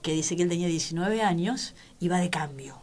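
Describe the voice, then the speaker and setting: Halting Argentine woman in her forties. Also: fairly low pitch, darkish tone. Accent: Rioplatense Argentine. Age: 40s. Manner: halting, with many restarts and repeated words. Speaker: tango musician and teacher, guest. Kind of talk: radio interview.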